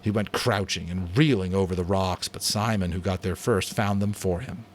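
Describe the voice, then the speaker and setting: Deep-voiced man reading a story dramatically. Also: moderate pace, slight background noise. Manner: expressive, theatrical. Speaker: narrator.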